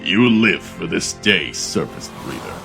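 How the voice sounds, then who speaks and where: A man's voice: deep voice, expressive, measured pace, gravelly, sharp tone, soft background music, full character voice. A man playing a proud, scornful undersea king in an audio drama, with a haughty, formal and threatening way of speaking. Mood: angry, menacing.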